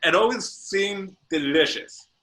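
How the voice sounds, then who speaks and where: A man's voice: high-pitched, unhurried, pushed and bright. A man co-hosting a casual movie review chat, rambling with many restarts and fillers. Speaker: podcast co-host.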